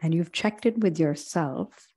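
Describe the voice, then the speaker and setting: Female meditation guide, low-pitched and very slow, gentle, leaving long pauses. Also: slightly rough. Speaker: yoga and meditation instructor.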